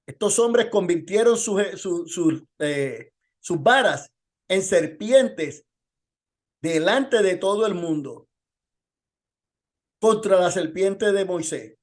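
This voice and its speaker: Male pastor, high-pitched voice, informal Bible-lesson delivery with testimony and pointed rhetorical questions. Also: animated, slow, pauses often.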